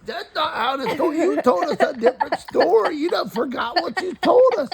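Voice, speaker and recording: A woman voicing a bossy, skeptical female puppet with a husky voice and a blunt, folksy way of scolding in an improvised show. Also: high voice, animated, moderate pace, a crowd in the background.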